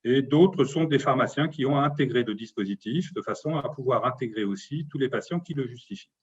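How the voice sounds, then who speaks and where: A man's voice: medium pitch, fairly quick, breathy edge, highs cut off. A man, a doctor and local official, explaining in interview answers and often punctuating points with a tag word.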